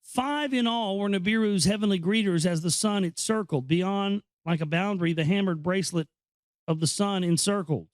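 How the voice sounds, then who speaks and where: A man hosting an informal read-aloud, explaining and commenting in a halting, conversational way. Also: sits high, dry recording. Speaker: host reading a book aloud with commentary. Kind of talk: informal reading with off-the-cuff commentary.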